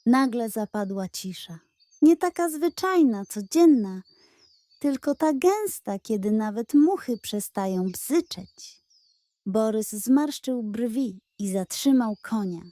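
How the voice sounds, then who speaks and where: Slow, high-voiced woman telling a children's story. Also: lively intonation, warm tone, dry recording. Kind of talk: scripted children's audio story narration.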